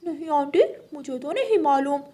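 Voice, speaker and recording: Cartoon naughty crow boy, woman performer: high, childlike, evasive, defensive. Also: expressive, moderate pace, dark tone. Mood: evasive, guilty.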